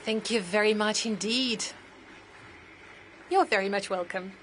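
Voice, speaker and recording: A woman interpreter giving a formal, measured simultaneous interpretation. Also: medium pitch, a bit bright, a little hiss or hum.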